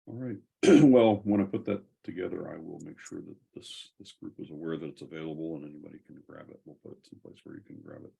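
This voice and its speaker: A man hosting a casual online community call, speaking in measured, hesitant phrases. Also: rumbling low, very animated, gravelly, dark tone, breathy edge, rough recording. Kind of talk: casual video call discussion.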